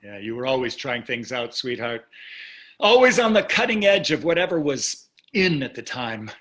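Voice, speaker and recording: Dry, needling wealthy husband, bright voice. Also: male voice, medium pitch, very animated, normal speed. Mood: dryly teasing. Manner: dry, needling, condescending endearments. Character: wealthy older husband, dryly teasing and complacent. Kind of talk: staged play reading.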